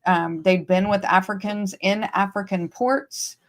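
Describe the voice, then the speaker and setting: A woman's voice: mid-range voice, steady tone, unhurried. A woman hosting a livestream, explaining points emphatically and repeating key phrases. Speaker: host. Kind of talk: livestream discussion. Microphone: streaming setup.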